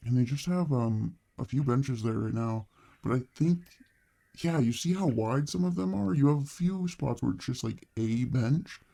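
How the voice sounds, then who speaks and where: A man acts out a thoughtful boyfriend on a date in an ASMR roleplay. His voice is soft and mellow with a lot of gravelly fry, and he talks slowly and casually. He rambles hesitantly, affectionate and gently teasing. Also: fairly low pitch.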